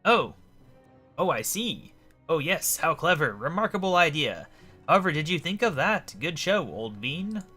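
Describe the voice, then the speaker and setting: Pompous old gent, animated. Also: male voice, a little high, unhurried, husky, soft background music, pitched above the performer's own voice, heavily acted. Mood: jolly, pompous. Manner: blustering, exclamatory, old-fashioned genteel speech. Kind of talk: voicing video game dialogue.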